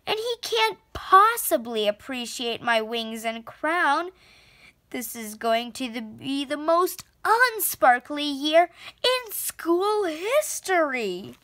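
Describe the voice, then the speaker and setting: Woman voicing a high-pitched dramatic little fairy princess. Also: no room sound, exaggerated voice. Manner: expressive, exclamatory. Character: dramatic little girl fairy princess, sentimental and easily upset. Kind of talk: children's storybook read-aloud.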